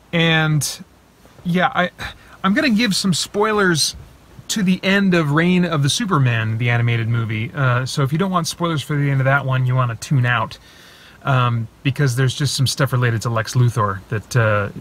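Man in his thirties, rambling spoiler review, filler-heavy, parked car, phone at arm's length. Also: fairly high pitch. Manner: animated, rambling with many fillers and restarts. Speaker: vlogger reviewing films. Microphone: phone held at arm's length.

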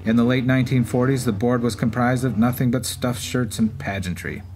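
A man hosts with scripted narration at a middle pitch. Faint racetrack event ambience runs behind his voice. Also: fairly even intonation, brisk, a little mellow. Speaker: podcast host. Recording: clear background ambience noise.

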